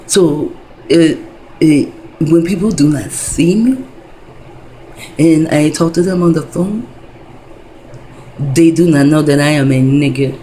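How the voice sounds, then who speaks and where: A woman's voice: deep voice, takes it slowly, long pauses, dark tone, slight background noise, poor audio. A woman in her fifties talking in a rambling casual monologue, wandering between thoughts, full of fillers and profanity. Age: 50s.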